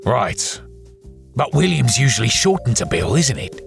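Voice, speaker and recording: A man voicing an excitable, mouthy, tactless spaceship crewman who complains and swears in an audio drama. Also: mid-range voice, measured pace, vocal fry, faint music behind, voice pushed up, exaggerated voice. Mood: chatty. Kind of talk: audio drama character acting.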